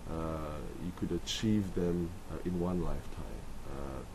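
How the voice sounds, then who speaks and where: A man in his forties from Singapore, answering interview questions slowly with many pauses and restarts. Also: extremely low pitch. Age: 40s. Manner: hesitant, many ums and restarts. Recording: noisy background.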